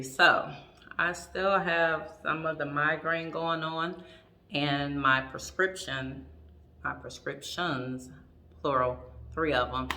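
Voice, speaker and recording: A woman with a raspy voice chatting casually in a home vlog, full of ums and restarts, with her throat a little sore. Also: low-pitched, bright, forceful tone, far from the mic. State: throat trouble, clears throat. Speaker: vlogger.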